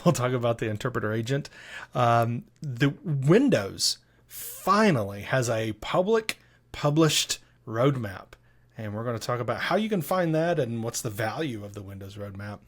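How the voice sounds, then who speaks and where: A man hosting a casual tech podcast, upbeat and chatty, teasing his co-host. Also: on the high side.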